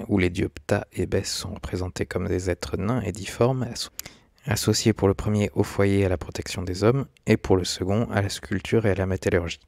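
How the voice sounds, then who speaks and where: Low-voiced man narrating. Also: creaky, darkish tone, no room sound, studio quality. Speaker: video essayist, host. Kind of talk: scripted documentary-style narration.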